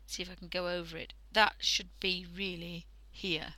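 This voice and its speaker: A woman whose voice is croaky from a bad cold, yet still bright and forward in tone. She talks casually into a headset while drawing, thinking aloud and often sinking into soft half-whispers. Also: fairly low pitch, level tone, normal speed, no room sound. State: hoarse from a cold. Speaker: art livestream host. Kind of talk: casual drawing tutorial commentary.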